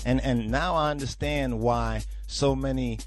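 A man's voice: medium pitch, steady tone, unhurried, faint music behind. A man hosting a religious talk podcast, rambling and preachy, often checking "you understand?". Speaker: podcast host.

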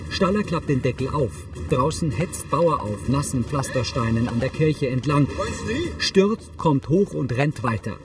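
A man reading scripted audio description in a measured, matter-of-fact manner. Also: medium pitch, expressive, music in the background. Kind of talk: scripted narration.

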